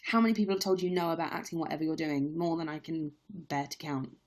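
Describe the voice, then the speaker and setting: A young woman, a stage actress, talks casually to her viewers in a live-stream Q&A. Her voice sits a little low, and she speaks candidly with plenty of ums and likes. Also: steady tone, quick pace, a little mellow. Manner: chatty, candid, lots of fillers.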